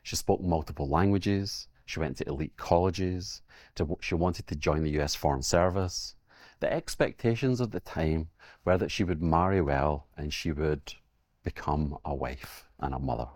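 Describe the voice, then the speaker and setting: A man telling a historical story in a steady, explanatory way. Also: a bass voice, moderate pace, no room sound, clean studio sound. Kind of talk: storytelling narration.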